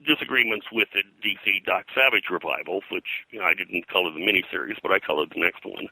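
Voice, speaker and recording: An older man speaking as an interview guest, reminiscing in a halting, digressive way and often clearing his throat. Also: low voice, pushed and bright, no echo, rough recording. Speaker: guest, pulp and comics historian. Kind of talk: interview conversation, reminiscing about his work.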